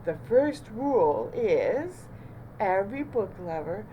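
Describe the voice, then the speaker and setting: A stern yet kindly lady librarian from a picture book, as a woman reads her aloud. She speaks with slow, measured authority, with noticeable background noise. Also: mid-range voice, very animated, highs cut off. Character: stern but kindly female storybook librarian.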